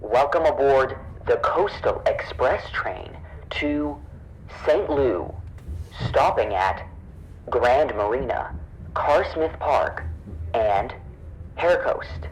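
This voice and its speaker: A man's voice: mid-range voice, a little hiss or hum, low-quality recording. A man reading scripted onboard train announcements in a polite, formal, carefully enunciated manner. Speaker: English teacher voicing onboard train announcements.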